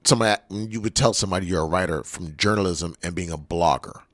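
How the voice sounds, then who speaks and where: A man's voice: low voice, quick pace, no room sound, clean studio sound. An American man co-hosting a show, casually bantering and putting questions to a guest. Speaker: radio show co-host, interviewer.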